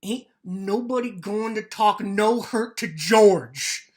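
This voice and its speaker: A woman playing a simple, trusting male American ranch laborer in a dramatic reading, speaking in earnest, halting rural vernacular. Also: medium pitch, darkish tone, lightly acted. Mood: agitated, angry. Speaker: actor.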